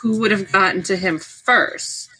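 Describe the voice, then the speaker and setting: A woman co-hosting a podcast, chatting about a book in an informal, expressive way. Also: mid-range voice, measured pace, rough recording. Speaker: podcast co-host. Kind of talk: casual book discussion chat.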